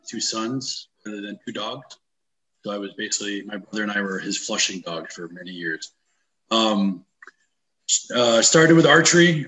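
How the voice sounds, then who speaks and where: A man from New York State introducing himself in a plainspoken, relaxed way on a video call. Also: mid-range voice, poor audio. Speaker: panel speaker.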